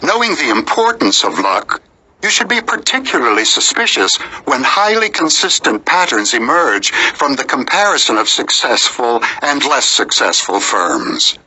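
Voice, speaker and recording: A man reading nonfiction as an audiobook narrator in a measured, articulate, emphatic delivery. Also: medium pitch, big pitch swings, bright, forceful tone, slightly rough, rough recording.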